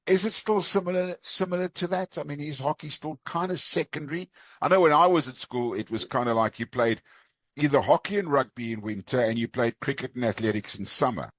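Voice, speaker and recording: Lively rambling sports podcast host, man. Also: mid-range voice, brisk, no echo. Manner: lively, rambling questions with many asides. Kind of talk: sports interview.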